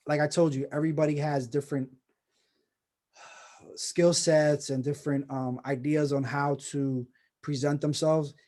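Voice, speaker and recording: A man's voice: a little high, dark tone. A man hosting a casual video call session, rambling and encouraging with many restarts. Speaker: host of a personal branding course.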